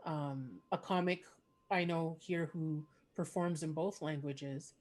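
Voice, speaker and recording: Chatty host, lowish voice, laughs often. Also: female voice, fairly even intonation, slow pace, darkish tone. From Canada. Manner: chatty, laughs between phrases. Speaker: podcast host, comedian. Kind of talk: casual chat.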